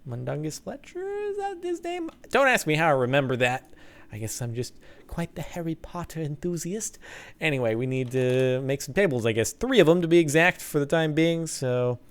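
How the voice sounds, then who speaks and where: Young male let's play host, slightly high, gravelly, rambling and upbeat at a desk mic. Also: big pitch swings, normal speed. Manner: rambling, upbeat, self-deprecating. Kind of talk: casual gameplay commentary.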